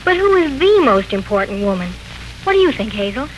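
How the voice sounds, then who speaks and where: A woman acting a lively, inquisitive young woman, chatty and playfully pressing, in 1940s radio drama. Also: a little high, a little fast, dull audio. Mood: playful curiosity. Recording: noisy 1940s radio broadcast recording.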